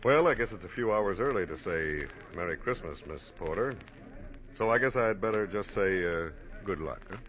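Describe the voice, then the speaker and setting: An actor playing a polite, guarded American detective in a 1940s radio drama, speaking in a measured, courteous way. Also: male voice, sits low, animated, a bit bright. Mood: quietly knowing. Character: polite, guarded heavy-set American man, suspected detective. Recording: muffled, noisy old radio recording.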